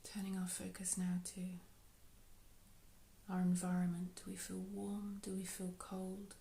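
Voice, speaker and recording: A woman leading a guided meditation in a soft, unhurried, soothing way, with phrases trailing off into breath. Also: mid-range voice, monotone, vocal fry. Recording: roomy, echoey sound.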